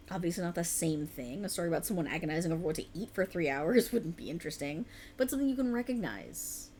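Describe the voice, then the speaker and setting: Girl doing a sleepy writer gf, asmr rp, rambly and earnest, very expressive pitch, bit of background noise. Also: natural voice. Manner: earnest, rambling, playfully defensive. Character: tired, rambling, passionate young woman writer, teasing girlfriend. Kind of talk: ASMR girlfriend roleplay, intimate conversational acting.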